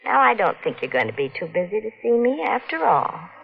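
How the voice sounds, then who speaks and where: Coarse, scheming blackmailer, sly and folksy, animated; muffled vintage broadcast. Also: female voice, medium pitch, a little fast, vocal fry, husky, exaggerated voice. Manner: folksy ungrammatical speech. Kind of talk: 1950s radio drama acting. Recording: dull vintage radio broadcast.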